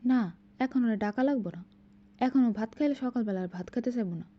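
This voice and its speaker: Patient cartoon mother, played by a woman, Bangladeshi accent, high voice, quick delivery, coaxing a small child. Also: muffled recording, lightly acted. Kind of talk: amateur cartoon voice acting.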